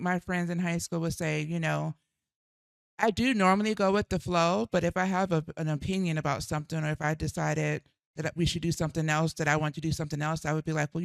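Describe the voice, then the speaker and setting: A woman's voice: low voice, creaky, no echo. A middle-aged woman chatting with her mother, thoughtful and rambling, a little self-deprecating. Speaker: daughter and co-host. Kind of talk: casual chat.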